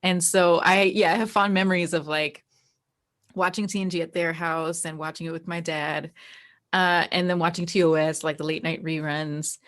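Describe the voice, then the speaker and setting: A woman's voice: lowish voice, fairly even intonation, moderate pace, a bit bright. An American woman, a guest in a casual interview, telling personal stories in a very informal, emphatic way. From New Jersey, United States. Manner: informal, peppered with "like" and emphatic repeats. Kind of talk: casual chat, personal storytelling.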